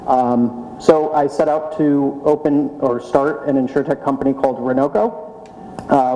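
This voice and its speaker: A man with a mellow, mid-pitched voice speaks earnestly on a panel, stopping often to search for words. A long reverb from the hall trails behind him. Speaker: panelist, insurtech founder. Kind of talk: panel talk.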